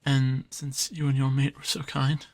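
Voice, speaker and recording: A man's voice: medium pitch, monotone, normal speed, lightly acted. A man playing a timid, apologetic small creature in an audio drama, speaking in a hesitant stammer. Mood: nervous, pleading.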